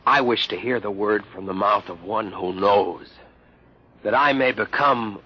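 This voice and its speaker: An actor playing an earnest young scientist cadet, formal and deferential, in an old-time radio drama. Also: male voice, lowish voice, a little slow, natural voice. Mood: earnest, anxious. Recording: muffled, hissy old radio recording.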